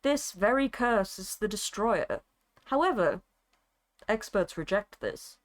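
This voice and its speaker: A woman's voice: mid-range voice, expressive, slow. A woman reading a story aloud in a theatrical, actorly dramatic style, as a streamer would. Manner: actorly, breaking into amusement at the absurd text.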